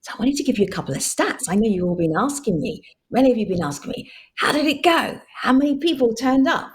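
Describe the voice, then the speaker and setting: A woman's voice: a little high, expressive, fast talker. A woman hosting a virtual conference, addressing the audience directly and repeating words for emphasis. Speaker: event host, moderator. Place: virtual event link.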